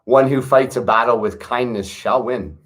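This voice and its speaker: A man reading an old wisdom text aloud in a measured, earnest way, with brief casual asides to his listeners. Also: mid-range voice, fairly bright tone. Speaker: reader of a classic text.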